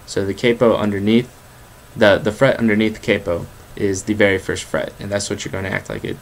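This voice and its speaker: A man with a bass voice teaching a guitar lesson, explaining chords in a quick, relaxed way with fillers. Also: creaky, a little hiss or hum. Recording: guitar playing behind. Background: acoustic guitar.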